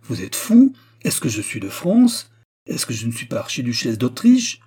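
A man's voice: medium pitch, animated, brisk, dark tone, slightly rough, pitched above the performer's own voice. A man voicing a haughty, imperious queen in a storybook reading, scornful and cutting. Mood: indignant, scornful. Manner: imperious, scornful, mocking rhetorical questions.

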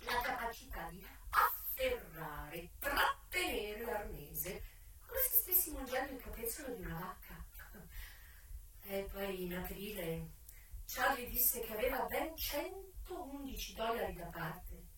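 A woman's voice: mid-range voice, very animated, unhurried, background noise, pitched below the performer's own voice. An actress playing a worldly madam who recalls her youth in a frank, sensual, intense theatrical narration. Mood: low, confiding. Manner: dramatic, intense, swinging from hushed to loud. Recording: echoey, distant stage recording with music.

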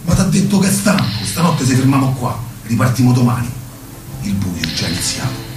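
A man's voice: mid-range voice, sing-song, fairly quick, natural voice. An actor in a radio play as a patient, firm travelling man with a Roman Italian accent. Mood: insistent. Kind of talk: radio theatre acting. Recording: roomy distant mic, music over it.

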